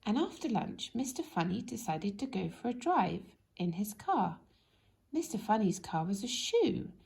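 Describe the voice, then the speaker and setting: A woman reading a children's story aloud in an expressive, animated way, speaking directly to young listeners. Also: medium pitch, unhurried, vocal fry. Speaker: storybook reader.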